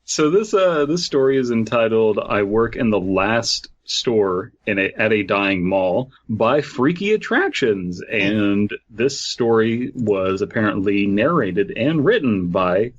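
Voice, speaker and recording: A man's voice: fairly low pitch, expressive, slow, few pauses, dull audio. A man hosting a podcast in casual comedic chat, given to riffing and acting out little bits. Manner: riffs with acted-out bits. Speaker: podcast host.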